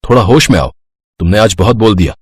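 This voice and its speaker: A man's voice: fairly low pitch, lively intonation, fast talker, husky, no room sound, clean studio sound. A man voicing a stern young husband in a cartoon, speaking firmly. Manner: firm, scolding.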